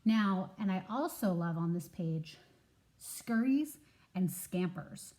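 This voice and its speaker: A woman teacher reading a children's story aloud, enunciating expressively and pausing to prompt listeners with questions. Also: fairly low pitch, expressive, mellow.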